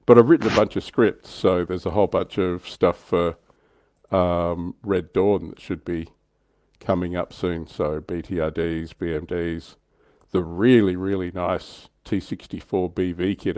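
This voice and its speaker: A man with a very low, dark-toned voice hosts a casual livestream. His delivery is chatty and informal. The sound is dry, with hardly any room on it. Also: fairly even intonation, a little slow, no room sound. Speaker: livestream host.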